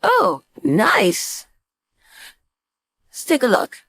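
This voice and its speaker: A woman instructor giving a casual screen-share software tutorial, informally thinking aloud step by step into a close mic. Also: high-pitched, huge pitch swings, very slow, vocal fry, no echo. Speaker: design instructor.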